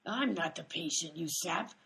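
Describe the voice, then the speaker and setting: A woman playing a bossy, sharp-tongued amateur detective in a radio comedy, snappy and sarcastic. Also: low voice, normal speed, vocal fry, exaggerated voice, poor audio. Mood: impatient, sarcastic.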